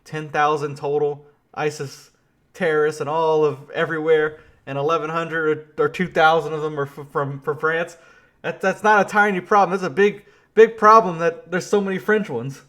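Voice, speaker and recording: A man's voice: on the high side, moderate pace. Man ranting about the news. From Louisiana. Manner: animated, laughing, restarts. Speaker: political commentary host. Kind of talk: casual political commentary.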